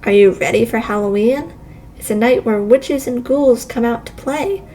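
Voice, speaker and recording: Woman voicing a sweet high-voiced kids' show hostess. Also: moderate pace, warm tone, a little hiss or hum, lightly acted. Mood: cheerful, excited. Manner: sweet, simple, addressing children directly. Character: chirpy, kind children's show hostess with childlike wonder.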